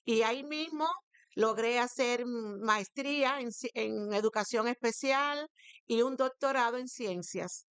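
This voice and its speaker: A woman in her sixties with a Cuban accent, answering interview questions as a guest in a formal, halting way. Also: on the high side, a bit bright, smooth, no echo. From Santiago de Cuba, eastern Cuba. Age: 60s. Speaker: guest, teacher and education academic. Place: radio studio.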